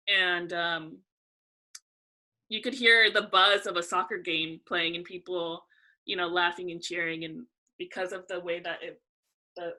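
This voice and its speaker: A young woman from California, a guest talking casually and thoughtfully in an interview, with frequent pauses. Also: fairly low pitch, bright, forceful tone, rough recording.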